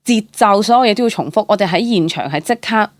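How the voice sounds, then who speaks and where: A young woman with a Hong Kong Cantonese accent chats as an interview guest, telling stories in an animated, candid way and dropping in English words. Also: mid-range voice, fast, no echo. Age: young adult. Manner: animated, chatty, mixes English words into speech. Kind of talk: casual, candid storytelling.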